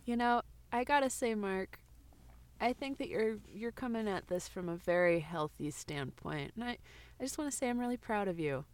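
A woman's voice: mid-range voice, expressive, normal speed, close to an everyday voice. A woman playing an earnest, chatty aspiring writer who co-hosts a book podcast in an improvised audio comedy. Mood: gentle, halting. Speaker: podcast co-host.

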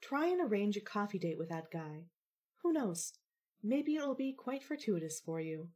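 Young sister for audio drama, female actor: formal, wry, caring, precise diction, husky tone. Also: medium pitch, sing-song, normal speed, dark tone. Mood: gently urging.

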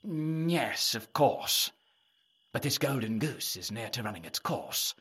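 Pompous, henpecked official, wildly swooping voice. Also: male voice, mid-range voice, big pitch swings, a little slow, a bit bright, slightly rough, exaggerated voice. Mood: nervous, scheming. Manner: flustered stammering, grandiose when alone. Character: pompous, nervous henpecked husband, self-important medieval court official. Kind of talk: audio drama acting.

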